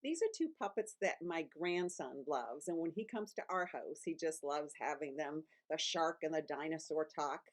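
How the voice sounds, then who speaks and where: A woman's voice: low-pitched, lively intonation, normal speed, few pauses. An older woman hosting a casual video, talking in a playful, encouraging way. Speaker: children's author and host. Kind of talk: casual vlog for grandparents.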